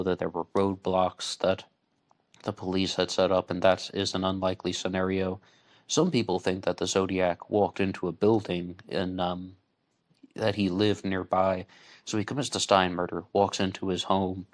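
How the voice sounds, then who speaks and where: A man hosting an online radio show, giving true-crime commentary in deliberate, halting phrases with pauses. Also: sits low.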